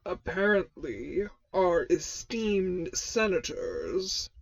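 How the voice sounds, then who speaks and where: A woman voicing a menacing, formal armored male warlord in an audio fan fiction, with a gravelly, vocoder-filtered growl. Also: on the low side, sing-song, extremely slow, no echo, heavily acted, rough recording. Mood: sarcastic anger. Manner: formal, clipped, sarcastic. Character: menacing, stern armored male Sith lord, protective mentor.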